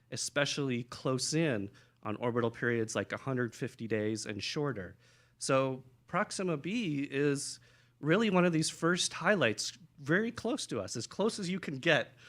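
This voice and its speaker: Man, astronomer on a panel, enthusiastic explaining, mid-pitched voice. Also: fairly bright tone. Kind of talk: conversational panel talk.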